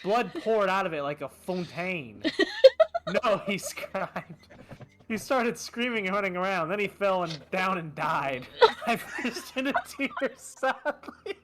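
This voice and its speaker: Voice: medium pitch, animated, slow pace, heavy background noise. A theatrical, amused reader giving a comedic reading of fan fiction, often breaking into laughter and dropping in asides.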